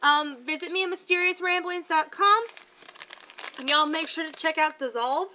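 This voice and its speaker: A woman's voice: high voice, normal speed, bright, forceful tone, slight background noise. A woman in her thirties chatting casually through a product review, tripping over words now and then, recorded at home in a living room on a close webcam. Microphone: webcam at close range.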